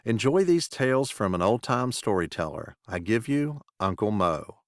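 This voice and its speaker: A man reading a scripted podcast introduction as host, in a measured, formal announcing manner. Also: lowish voice, big pitch swings, no room sound, studio quality.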